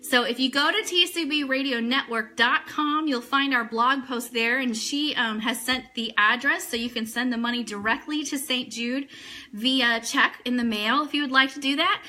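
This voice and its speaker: Female online radio host, bright and fairly high, talking informally and directly to a live audience of viewers. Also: moderate pace. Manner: chatty, addressing viewers and reading their comments. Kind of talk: casual livestream chat with news items.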